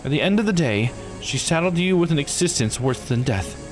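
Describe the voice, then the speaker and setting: A man voicing a composed, blunt law officer in a visual novel, explaining things in a measured, formal way. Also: fairly high pitch, expressive, music in the background, close to an everyday voice, poor audio. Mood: blunt, grave.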